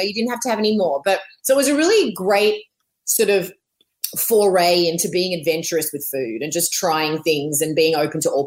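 Chatty Australian woman, lowish voice. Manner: animated, chatty storyteller, laughs often. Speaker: guest. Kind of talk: casual interview.

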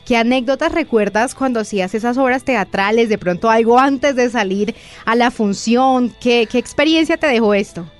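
Female interviewer, friendly, slightly high pitch, light music bed. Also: normal speed, few pauses. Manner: friendly, laughs easily. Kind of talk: interview questions.

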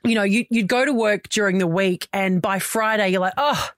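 A woman's voice: mid-range voice, moderate pace, no room sound, clean studio sound. A woman in her early forties with an Australian accent, chatting casually as a radio breakfast co-host. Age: early 40s.

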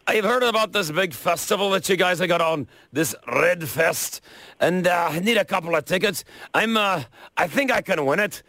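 A man acts out a boastful Scottish redhead on a prank call. The voice is high and forceful with a gravelly edge, chummy and cocky in a put-on Scottish accent. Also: expressive, normal speed, no room sound, clean studio sound, heavily acted. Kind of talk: prank phone call acting.

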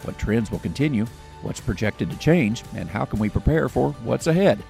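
A man hosting a farm radio program, asking questions in a measured, conversational way. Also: medium pitch, animated, gravelly, a little mellow, faint music behind. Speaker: host of farm radio show. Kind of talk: radio interview.

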